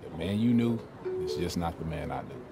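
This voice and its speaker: An American actor playing a guarded young basketball player in a video game, proud and blunt, talking in a casual streetwise way. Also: male voice, sits low, normal speed, natural voice. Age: young adult. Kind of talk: video game cutscene acting. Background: loud music.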